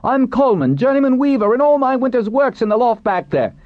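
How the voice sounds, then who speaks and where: Thin stooped weaver for a storybook: plaintive, indignant, far higher pitch, slightly muffled sound. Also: male voice, big pitch swings, a little fast. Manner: agitated, plaintive protesting. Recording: roomy, dull muffled recording.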